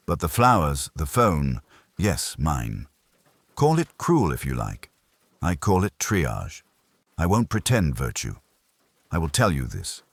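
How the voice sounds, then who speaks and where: Guy doing a cool defiant professional woman from a detective story, husky and super deep, explains it all crisply. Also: no room sound, heavily acted.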